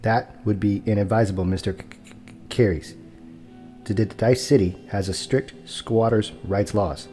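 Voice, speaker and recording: A man playing an unflappable property broker in an audio drama, stuttering, calm, polite and formal as he insists. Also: fairly low pitch, moderate pace, close to an everyday voice. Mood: calm insistence. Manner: calm, polite, formal, informing without pleading. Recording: long reverb, music underneath.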